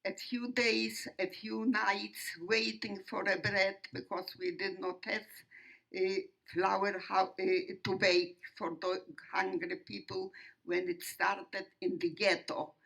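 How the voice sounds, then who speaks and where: Elderly Polish-accented woman, slow and solemn. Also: medium pitch, level tone, creaky, fairly bright tone, low-quality recording. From Poland. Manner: solemn, deliberate, halting delivery with pauses. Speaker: Holocaust survivor speaker. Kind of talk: personal testimony to young audience.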